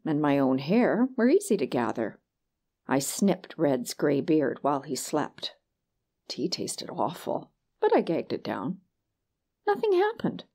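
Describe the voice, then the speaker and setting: A female audiobook narrator with a lowish voice tells a first-person tale. Her reading is scripted and expressive, shaped with a storyteller's sense of drama.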